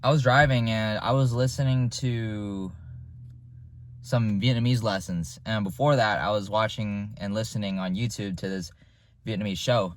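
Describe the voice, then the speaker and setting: A man's voice: lowish voice, fairly even intonation, normal speed. A man in his twenties, raised in the United States, talking through his thoughts in a loose, reflective monologue with frequent restarts. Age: 20s. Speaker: vlogger. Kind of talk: casual unscripted monologue.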